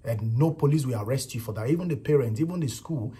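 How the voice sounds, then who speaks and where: A man's voice: medium pitch, quick pace, warm tone, slightly rough. A man with a Nigerian accent giving casual spoken commentary on a viral clip, emphatic and repetitive, often adding "you know" and "right". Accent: Nigerian English.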